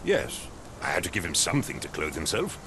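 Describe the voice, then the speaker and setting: Pompous English captain, lowish animated voice. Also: male voice, a little fast, background noise, full character voice. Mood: dismissive. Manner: pompous, clipped, condescending. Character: pompous, impatient male English captain, haughty wealthy master. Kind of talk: video game voice acting.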